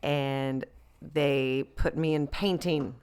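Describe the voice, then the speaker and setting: A woman's voice: low voice, steady tone, slow, darkish tone, smooth. A woman from Central Florida, a podcast guest telling stories about herself in a playful, casual way and laughing easily. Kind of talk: casual interview chat.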